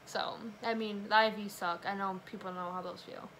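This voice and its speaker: A woman's voice: mid-range voice, fairly even intonation, normal speed, fairly bright tone, a little hiss or hum, poor audio. A young woman telling a personal story in a casual vlog chat. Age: young adult. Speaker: vlogger, new mother.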